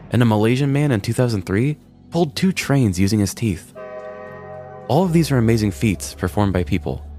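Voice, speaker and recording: A man's voice: fairly low pitch, a little mellow. A man narrating a scripted comedic story as a podcast host, in a wry, mock-serious manner. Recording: music bed behind the voice. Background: background music.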